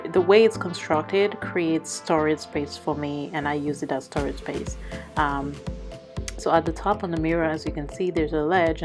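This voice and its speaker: A woman narrating a casual cleaning vlog in a conversational, explanatory way, slightly hoarse from a cold. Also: sits low. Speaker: vlogger. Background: background music.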